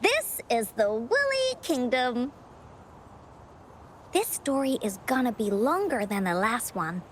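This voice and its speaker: A woman voicing a spirited, imaginative little girl who tells adventure stories theatrically in a video game, with deliberate dramatic pauses. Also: high-pitched, a little hiss or hum. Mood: eager.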